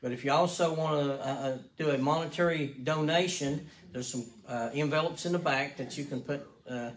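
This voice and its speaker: Middle-aged man giving relaxed church announcements. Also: fairly high pitch, moderate pace, slight background noise. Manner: relaxed, joking, many "uh" fillers. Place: small wood-paneled church sanctuary. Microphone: stand mic at pulpit, far camera.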